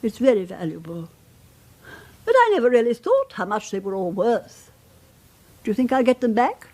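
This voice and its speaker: A frail, genteel old British widow in a radio drama. Her high voice moves expressively up and down, polite but hesitant and anxious. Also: very animated, normal speed, darkish tone. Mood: wistful, polite.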